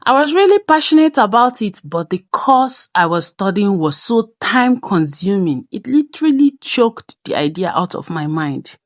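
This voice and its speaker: A young adult woman with a Nigerian accent tells a personal story in a conversational, expressive way, as a craft vlogger's voice-over. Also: medium pitch, normal speed, hardly any pauses, no echo, studio quality.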